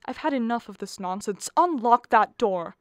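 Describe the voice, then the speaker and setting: A woman's voice: a little high, animated, moderate pace, dry recording, clean studio sound, lightly acted. A woman playing a nervous, harassed middle-aged mother of the bride in a radio play, pleading and exasperated.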